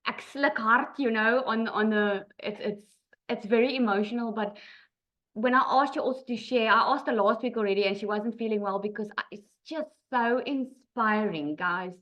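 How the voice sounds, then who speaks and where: A South African woman with a fairly high voice hosts an informal testimonial session. Her delivery is effusive and a little halting. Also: a bit bright, highs cut off. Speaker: host of an online group session.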